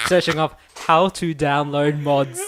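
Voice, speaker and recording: A young man in a casual podcast chat, his pitch swinging lively from low mumbles to high excitement. He is laid-back and gives short replies. His voice carries clear background noise. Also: slow. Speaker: podcast co-host. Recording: noisy recording.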